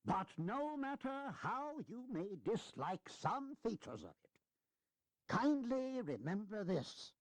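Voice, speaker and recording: An actor playing an elderly, wry and earnest American statesman giving a deliberate, emphatic speech in a historical audio drama. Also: sits high, big pitch swings, no room sound, full character voice. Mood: stern, wry. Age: older.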